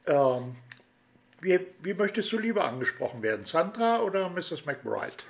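A male host leads a relaxed interview, his questions wandering and filled with hesitation sounds. His voice sits a bit high for a man. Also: normal speed, gravelly. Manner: friendly, rambling questions with many fillers. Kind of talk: chatty radio-style interview.

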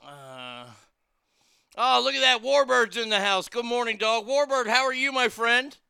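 A man hosts a casual talk radio show in a high, strikingly bright voice. He is loose, irreverent and crude as he reads out listener messages. The sound is dry and clear. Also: lively intonation, a little slow, dry recording.

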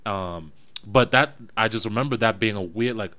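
Bright-toned man, reflective talk. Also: lowish voice, normal speed. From West African family, raised in New Jersey. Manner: reflective, thinks aloud with restarts. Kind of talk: casual conversation.

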